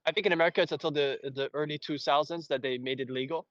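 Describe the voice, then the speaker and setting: A man from Belgium, a native French speaker with an accent to match, hosting a casual fan discussion in a rambling, halting way. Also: a little high, steady tone, fairly bright tone, no echo, highs cut off. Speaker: host.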